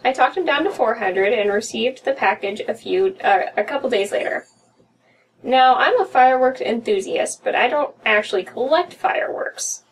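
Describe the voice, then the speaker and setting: A woman's voice: on the high side, animated, moderate pace, slightly rough. A young American woman co-hosting a podcast, reading a story aloud in a room at home. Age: young adult. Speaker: podcast co-host reading horror stories. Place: small room at home, indoors. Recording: distant mic with room noise.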